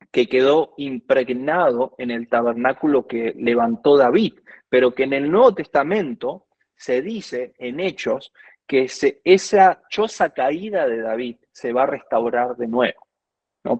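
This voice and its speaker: A man with an Argentine accent talks through his views as a worship minister, earnest and steady, often quoting scripture. His voice sits at a middle pitch. The sound is dull and dry, with no room echo. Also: a little slow. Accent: Argentine Spanish. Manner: earnest, quotes scripture at length. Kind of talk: conversational teaching.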